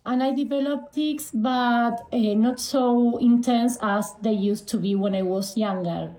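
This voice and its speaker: A woman in her forties from Argentina, answering interview questions in a halting way, with frequent pauses and restarts. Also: fairly high pitch, steady tone, mellow, roomy sound, rough recording. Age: 40s. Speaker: guest.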